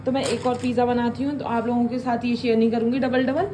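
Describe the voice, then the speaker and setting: Woman in her thirties, cooking vlogger, chatty step-by-step explaining, higher-pitched voice, phone recording with kitchen noise behind. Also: level tone, quick pace, dark tone. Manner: chatty, step-by-step explaining, addresses viewers as guys. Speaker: home cooking vlogger and mother. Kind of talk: casual cooking demonstration to camera. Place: small home kitchen indoors.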